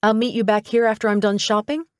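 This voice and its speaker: A woman's voice: mid-range voice, steady tone, fast, clear, resonant tone, no echo, studio quality, voice pushed up, natural voice. A woman voicing a wry, lightly sarcastic young female assistant to a detective in an audiobook. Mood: questioning, eager.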